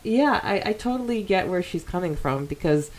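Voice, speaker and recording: A woman's voice: on the low side, lively intonation, normal speed, darkish tone, poor audio. A woman co-hosting a podcast in a casual, giggly, slangy way, chatting and reading out fan comments. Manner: giggly, girlish slang with frequent "like". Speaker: podcast co-host.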